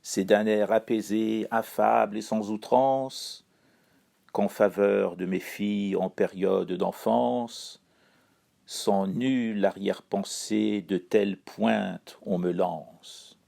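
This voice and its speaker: Male columnist from Iran, dark gravelly voice, dry ironic read. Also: lowish voice, steady tone. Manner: wry, ironic, measured delivery. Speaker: chronicler. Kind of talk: read-aloud spoken column.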